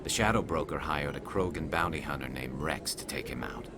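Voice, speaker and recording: A determined alien investigator from a video game, speaks in a low register. He is earnest and intent about his duty. A clear vehicle-like hum runs behind the voice. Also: fairly quick. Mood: intent, resolute.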